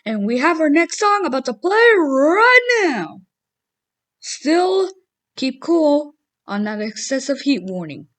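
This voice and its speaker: A woman's voice: high voice, sing-song, slow, a bit bright, full character voice. A woman playing a chatty, upbeat morning radio host who introduces songs and talks casually to listeners. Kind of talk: radio DJ patter.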